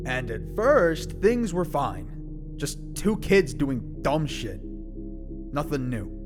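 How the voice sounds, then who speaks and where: A young man playing a brash, foul-mouthed high school boy with a grudge in an audio drama, scornful and emotive. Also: sing-song, slow pace, music in the background. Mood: bitter, brooding.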